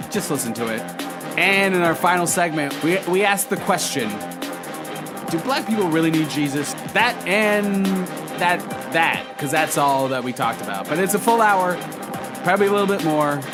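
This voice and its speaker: Higher-voiced man opening a podcast over loud music. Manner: loose, chatty intro with fillers. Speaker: podcast host. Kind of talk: show intro.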